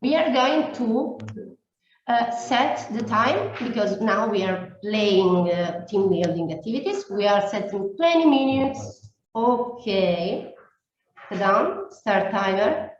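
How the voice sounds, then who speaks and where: A woman's voice: mid-range voice, lively intonation, slow pace. A woman facilitating an online workshop, explaining the steps in English with non-native phrasing. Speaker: meeting facilitator. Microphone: video call. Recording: very echoey, dull online call audio.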